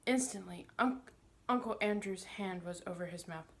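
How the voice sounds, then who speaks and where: A woman's voice: mid-range voice, normal speed. A woman narrating a children's novel, reading it aloud as a storybook reader.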